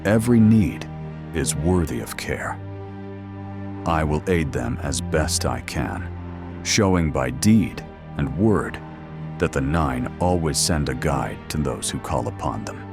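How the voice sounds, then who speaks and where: A man voicing a solemn, pious knight in a grave, formal, measured monologue for a fantasy game roleplay. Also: rumbling low, animated, music in the background, pitched below the performer's own voice, full character voice. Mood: solemn devout. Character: solemn pious male knight, humble crusader. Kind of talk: scripted in-character monologue.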